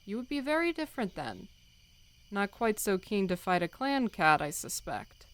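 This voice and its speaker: Stern she-cat clan leader played by a woman, solemn and commanding, measured delivery for an animal audio drama. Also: medium pitch, big pitch swings, natural voice. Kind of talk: audiobook fandub acting.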